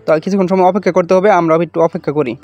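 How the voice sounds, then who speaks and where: A man's voice: sits high, fairly even intonation, very fast, darkish tone, dull audio, faint music behind. A man with a Bangladeshi accent hosting a casual step-by-step tutorial in colloquial, repetitive instructions. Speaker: tutorial video host.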